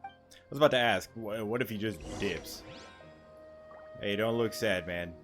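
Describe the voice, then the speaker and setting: A man's voice: fairly low pitch, a little slow, soft background music. A man streaming a game with casual, slangy commentary, tossing out offhand muttered asides. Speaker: gaming streamer.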